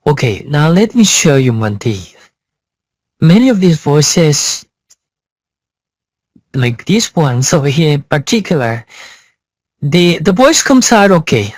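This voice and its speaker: Slow-talking, insistent man with swooping pitch. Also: very animated, no room sound. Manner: blunt, insistent, repeating himself. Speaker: software reviewer. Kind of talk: casual spoken review.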